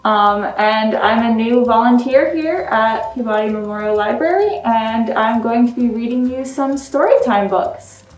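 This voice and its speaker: A woman reads children's stories aloud in a slightly high voice. She is recorded in an echoey space, a little way from the microphone. She presents as a volunteer story time reader. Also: a little slow, few pauses. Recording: roomy echo, distant mic, music behind.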